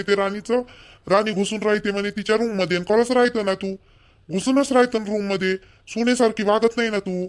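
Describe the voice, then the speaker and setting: A man's voice: high-pitched, clear, resonant tone, lightly acted. A man voicing a blunt, fault-finding young village husband in an amateur cartoon, nagging in a Vidarbha Marathi accent. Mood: reproachful. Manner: blunt, nagging, repetitive complaining. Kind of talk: amateur cartoon dialogue in rural dialect.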